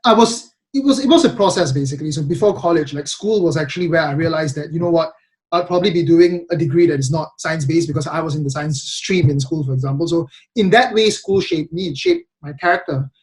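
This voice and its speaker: A young man from Malaysia hosting a casual podcast chat, speaking hesitantly with many restarts and ums. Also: on the high side. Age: young adult. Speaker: podcast host.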